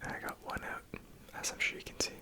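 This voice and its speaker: A young man from Ontario with a Franco-Ontarian French accent, whispering softly and gently as an ASMR creator. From Ontario, Canada. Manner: soft unvoiced whisper, slow and gentle.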